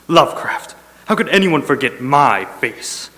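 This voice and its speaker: Pompous, blustering, animated radio star. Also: male voice, on the high side, measured pace, exaggerated voice. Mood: indignant. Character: pompous, vain, irascible radio drama star and director. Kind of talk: stage comedy about old-time radio. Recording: echoey hall with long reverb.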